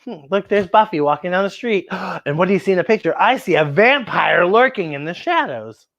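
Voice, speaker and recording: A woman hosting a children's story time, excitable and playful, with exaggerated expressive storytelling. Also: fairly low pitch, a little fast, lo-fi sound.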